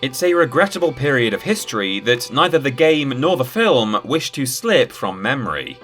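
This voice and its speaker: Animated, bright-voiced man narrating a countdown. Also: mid-range voice. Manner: wry, tongue-in-cheek. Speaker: video presenter. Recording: music behind the voice. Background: background music with guitar.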